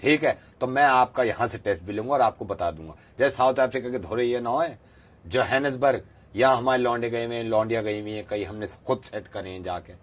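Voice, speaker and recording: A man voicing a self-assured rustic fake professor with a rural western Uttar Pradesh accent, rambling and repeating himself deadpan in a prank call. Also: mid-range voice, brisk, close to an everyday voice. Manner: rambling, repetitive, bluntly folksy.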